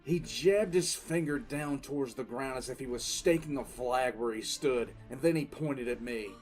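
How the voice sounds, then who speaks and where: A man's voice: mid-range voice, moderate pace, a little mellow, natural voice. Weary military commissar telling his story, acted by a man. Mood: grave, detached. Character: weary, cool-headed military commissar recounting his past. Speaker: narrator and lead voice actor. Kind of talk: dramatic first-person audio drama narration. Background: faint background music.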